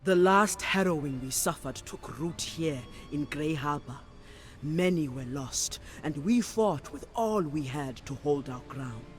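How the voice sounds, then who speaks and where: An actress playing a calm, devout warrior priestess of a sea goddess in a video game, speaking in a measured, solemn way. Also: female voice, deep voice. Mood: grave. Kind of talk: dramatic video game acting. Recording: music under the voice.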